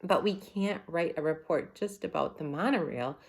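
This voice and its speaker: A woman's voice: lowish voice, moderate pace, natural voice. A woman voicing a bossy, exacting schoolgirl from a storybook in an emphatic, insistent manner. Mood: objecting.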